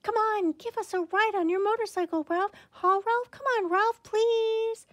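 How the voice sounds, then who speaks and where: A woman voicing a crowd of small young mice begging for a ride, high and squeaky. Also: normal speed, fairly bright tone, dry recording. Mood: pleading. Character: squeaky little cousin mice, pleading.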